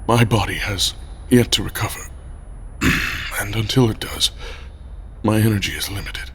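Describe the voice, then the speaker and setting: A man's voice: on the low side, moderate pace, a bit bright, breathy edge. A man playing a proud, weary, melodramatic military director in a science fiction audio drama, formal and dry. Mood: weary, brooding. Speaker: lead character.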